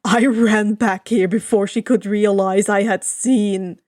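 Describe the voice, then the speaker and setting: A woman's voice: medium pitch, fairly even intonation, slow, a little mellow, no room sound, clean studio sound, natural voice. A woman voicing an earnest male sailor, a second-in-command who speaks urgently and insistently, in a fan fiction reading. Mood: shaken, urgent.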